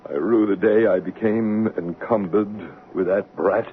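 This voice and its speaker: An actor playing a dour, commanding and curt master of a great house in a 1970s radio drama. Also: male voice, lowish voice, a little slow, lightly acted. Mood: brooding, sardonic. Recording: tinny band-limited old radio recording.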